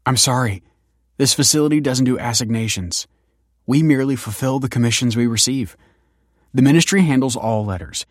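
A man's voice: medium pitch, fairly quick, dry recording, clean studio sound, pitched above the performer's own voice, close to an everyday voice. A man voicing a woman clinic director who stays polite, clipped and controlled under tension. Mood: guarded.

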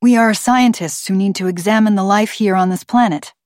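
A woman narrator gives lines to a young male audiobook character who is calm and practical. His delivery is understated and wry. It is recorded dry, with no room sound. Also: medium pitch, a little fast, dry recording, close to an everyday voice. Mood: earnest.

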